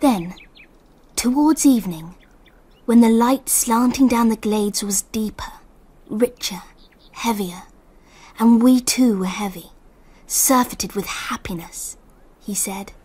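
An actress narrating a radio drama as a wistful English girl recalling a summer, in hushed, lyrical storytelling. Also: on the high side, slow pace, long pauses, husky.

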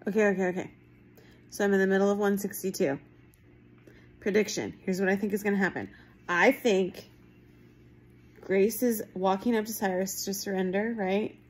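A woman in her thirties chatting casually and emphatically to her phone at home, reacting in an animated, informal way. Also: medium pitch, moderate pace, pauses often. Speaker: book vlogger giving reading reactions. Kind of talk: casual reaction chat to camera. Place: small room at home, indoors. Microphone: phone at arm's length.

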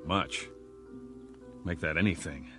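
An actor playing a bewildered man without memory in a radio drama, halting, stammering and questioning himself as he rambles. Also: low-pitched, over music. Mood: puzzled, musing. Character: bewildered amnesiac man, rambling and nervously chatty. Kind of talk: radio drama first-person monologue.

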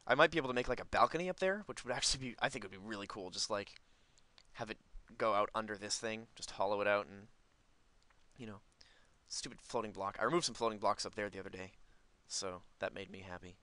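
A man's voice: on the low side, fairly quick, sharp tone, no room sound. A man giving casual let's play commentary, rambling and thinking aloud as host of a gaming video.

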